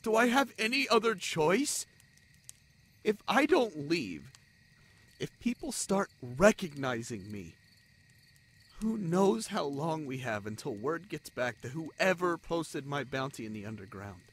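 A man playing an anguished, self-sacrificing young spider-folk lover in a romantic audio drama, halting and pleading in manner. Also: on the high side, very animated, a bit bright, slightly rough, exaggerated voice. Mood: fearful, urgent.

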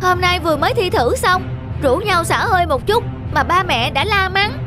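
Very high, whiny teenage boy played by a woman. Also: expressive, normal speed, music in the background. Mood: resentful. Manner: whiny, complaining. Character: sulky, defensive teenage schoolboy. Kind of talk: animated drama dialogue.

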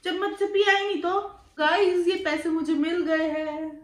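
A woman's voice: very high voice, fairly even intonation, moderate pace. A young woman, a daughter co-hosting a family vlog at home, bickering playfully and cheekily with her mother. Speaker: daughter, co-host. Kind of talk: casual family vlog chat. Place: living room, indoors, on a sofa. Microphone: fixed camera a few feet away. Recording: echoey room, heard from a distance.

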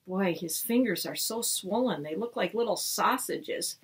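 A woman teacher voicing a whiny, teasing young girl in a storybook read-aloud, with a breathy tone. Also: mid-range voice, expressive, measured pace, full character voice.

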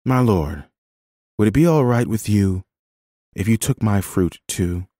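A man's voice: lowish voice, animated, unhurried, warm tone, no room sound, clean studio sound. A man voicing a firm, compassionate, pious woman who speaks earnestly in a dramatised story. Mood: earnest appeal. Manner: earnest, righteous, exhorting.

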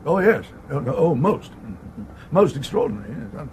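Dithering, creaky-voiced old English earl. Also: male voice, fairly high pitch, very animated. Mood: affable, befuddled. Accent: upper-class English. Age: older. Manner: halting, stammering, muttering. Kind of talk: 1980s radio comedy acting. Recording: noisy old radio drama recording.

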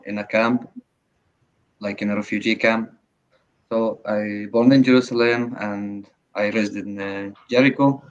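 Low-voiced man on a dull video call. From Palestine. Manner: searching for words, halting. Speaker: guest activist. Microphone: headphones on video call.

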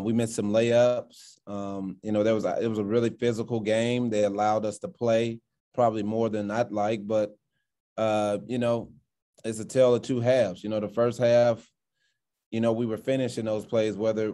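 A basketball coach in his thirties speaks over a video call after a game, his voice low and dark in tone. He delivers his answers in a flat, even line, pausing often with fillers and repeated words as he works through each thought. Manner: measured, halting, many fillers and restarts. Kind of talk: post-game press conference answers. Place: indoors in front of a sponsor backdrop. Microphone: video call, framed at desk distance.

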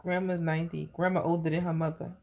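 A woman's voice: on the low side, level tone, fast talker, gravelly, darkish tone. Playful mom teasing her kids at bedtime. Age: 30s. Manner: playful teasing, repeating questions. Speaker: mother filming her daughters. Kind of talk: casual family chat at bedtime. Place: bedroom, lying in bed indoors. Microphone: phone camera held at arm's length.

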